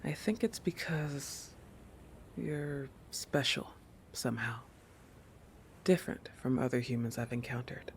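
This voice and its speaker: A man voicing a possessive, oblivious shark protector in an intimate roleplay audio, halting and musing, often dropping into a breathy whisper. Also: mid-range voice, a little hiss or hum, lightly acted. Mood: musing, tender. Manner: halting, musing, with thoughtful hums.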